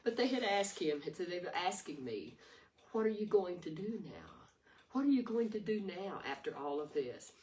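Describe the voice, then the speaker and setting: An older woman with a raspy voice giving an earnest, rambling devotional talk full of restarts. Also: on the low side, very animated, normal speed, darkish tone. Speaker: devotional video host. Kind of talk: informal devotional sermon. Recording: distant mic with faint noise.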